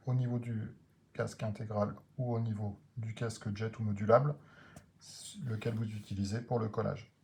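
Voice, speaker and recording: A man's voice: medium pitch, background noise. A man presenting a product unboxing, explaining each item step by step. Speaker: motorcycle gear video creator.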